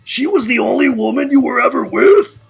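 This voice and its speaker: A man playing an excitable, nosy and dramatic young friend with a gravelly rasp in an audio drama. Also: extremely high pitch, fairly quick, faint music behind, full character voice. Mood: shocked, incredulous. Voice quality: gravelly, raspy. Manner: incredulous, theatrical. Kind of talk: amateur audio drama acting.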